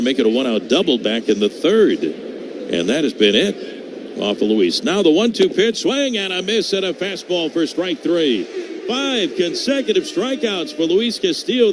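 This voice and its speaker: Male baseball announcer over ballpark noise. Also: a little high. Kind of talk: live radio sports commentary. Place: open-air ballpark broadcast booth. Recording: clear outdoor ballpark noise behind.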